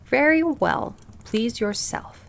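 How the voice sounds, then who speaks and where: A woman's voice: a little high, very animated, slow pace, creaky, pitched above the performer's own voice, exaggerated voice. A woman voicing a nosy, know-it-all old female duck in a storybook, opinionated and emphatic. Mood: huffy. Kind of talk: storybook character voice.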